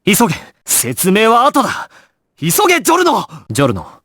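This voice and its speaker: An actor playing a calm, commanding young gangster squad leader in an anime video game, grave and decisive, giving clipped orders. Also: male voice, high-pitched, normal speed, dry recording, studio quality, heavily acted. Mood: alarmed, shouting.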